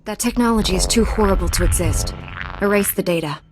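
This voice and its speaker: A woman's voice: medium pitch, a little fast, over music, lightly acted. An actress playing a principled female Jedi knight in a video game, speaking in an earnest, firm, composed way.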